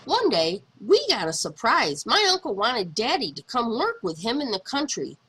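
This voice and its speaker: A woman narrates a picture book for young children with very wide, swooping intonation. Her voice is bright and a little husky, and she leans on words dramatically, drawing them out for emphasis. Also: on the high side, very animated, moderate pace. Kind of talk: expressive read-aloud narration for young children.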